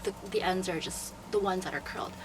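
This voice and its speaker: A young woman with a sore, hoarse throat, chattily explaining step by step how she curls her hair. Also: fairly low pitch, fairly quick. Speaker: beauty vlogger and hairstylist. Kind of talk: casual hair tutorial. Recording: noticeable background noise.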